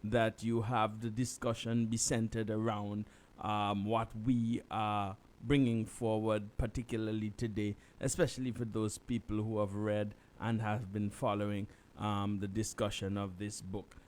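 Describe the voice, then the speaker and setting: A man hosting a radio talk show, inviting callers in a measured, deliberate way. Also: lowish voice, little pitch movement. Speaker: radio host.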